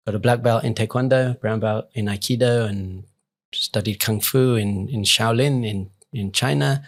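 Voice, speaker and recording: A man's voice: fairly low pitch, normal speed. A man speaking as an interview guest, reflective and earnest in an informal, conversational way. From England. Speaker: rabbi and podcast guest.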